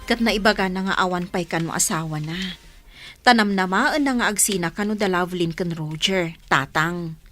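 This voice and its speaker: A woman's voice: fairly low pitch, normal speed, fairly bright tone, faint music behind, lightly acted. A woman acting a frank, caring Filipino elder sister in a radio drama. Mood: frank, concerned.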